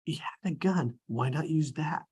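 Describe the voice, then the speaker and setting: An actor playing an earnest, thoughtful, preoccupied boy at a screenplay table read, hesitant and husky. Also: mid-range voice, measured pace, a little mellow.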